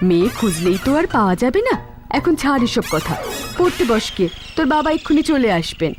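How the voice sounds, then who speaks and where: Lively, skeptical cartoon mother. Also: fairly high pitch, brisk, over music. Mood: scornful. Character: polite but skeptical, no-nonsense neighbour mother. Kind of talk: animated cartoon acting.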